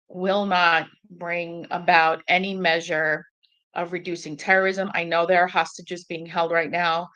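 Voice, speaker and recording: A woman's voice: on the low side, fairly bright tone, rough recording. A woman from Egypt speaking as a panelist, impassioned and searching for words. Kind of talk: panel discussion.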